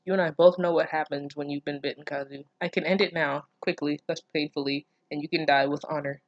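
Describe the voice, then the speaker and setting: A reader voicing a bloodthirsty, possessive teenage kendo captain from a fan fiction story in a grim, deliberate way. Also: medium pitch, fairly even intonation, dry recording, lo-fi sound, lightly acted. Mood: cold, menacing.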